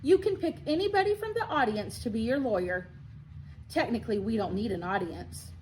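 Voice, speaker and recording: A woman voicing a sharp, bossy young girl from a children's storybook. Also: fairly high pitch, expressive, a little fast, slight background noise, natural voice, low-quality recording. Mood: sharp, insistent.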